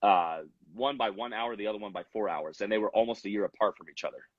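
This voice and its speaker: A man's voice: on the low side, quick pace, no echo, muffled recording. A young man in his twenties, a rapper from Salt Lake City, chatting casually as an interview guest with lots of fillers and restarts. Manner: rambling, many fillers and restarts.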